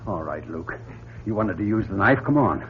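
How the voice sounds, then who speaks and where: An actor playing a steady, gruff spaceship commander, terse and commanding, in a radio drama. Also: male voice, lowish voice, normal speed, heavily acted. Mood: angry challenge. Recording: muffled lo-fi old radio recording.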